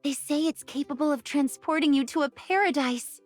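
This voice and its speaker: An actress playing a kind, forthright young shepherdess from a grassland tribe in a romance game. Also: female voice, sits high, moderate pace, faint music behind, lightly acted. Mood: friendly. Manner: bright, forthright, welcoming. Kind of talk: game character dialogue.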